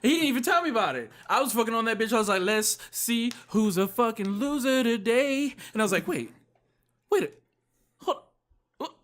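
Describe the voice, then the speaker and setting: A nearly thirty-year-old man tells a story on a podcast. His pitch swings widely as he acts out each person in it and curses freely. He talks in a rowdy, excitable way. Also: fairly quick. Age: 30s. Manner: animated, profane, acts out voices in his stories. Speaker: podcast guest. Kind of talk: casual podcast chat.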